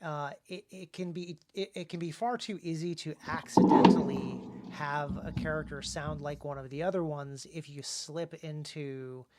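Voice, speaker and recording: Halting man in casual podcast discussion. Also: on the high side, steady tone, very noisy. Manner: halting, repeats words as he thinks. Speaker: podcast host and author. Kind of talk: casual discussion of writing craft.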